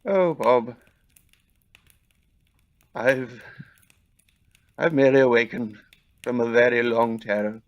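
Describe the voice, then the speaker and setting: A man playing an elderly reformed miser, halting, earnest and formally courteous, in a Victorian audio play. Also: medium pitch, steady tone, pitched below the performer's own voice. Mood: warm, emotional. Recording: dull lo-fi recording.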